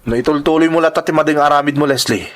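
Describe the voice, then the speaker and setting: A man's voice: fairly high pitch, fast, lightly acted. A man playing a young male worker who speaks out earnestly and indignantly against unfair treatment. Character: young male migrant worker standing up for coworkers. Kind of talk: audio drama acting.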